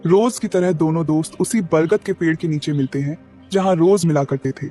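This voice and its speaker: A male narrator telling a cartoon moral story. His voice sits fairly high for a man, and he moves the plot along steadily. Gentle music plays under him. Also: moderate pace. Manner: steady, explanatory. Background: faint music.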